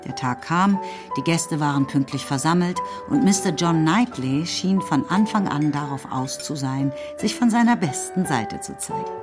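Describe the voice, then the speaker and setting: A woman's voice: low voice, expressive, normal speed. A woman reading scripted literary narration as the narrator of a radio play. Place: radio studio. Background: music.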